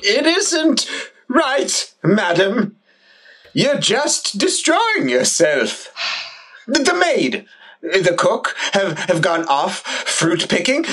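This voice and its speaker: An actor playing a fussy, sentimental elderly male servant in an audio play, halting and stammering, drawing words out in a pleading wail. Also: mid-range voice, very animated, a bit bright, husky, heavily acted. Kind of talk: theatrical audio play acting.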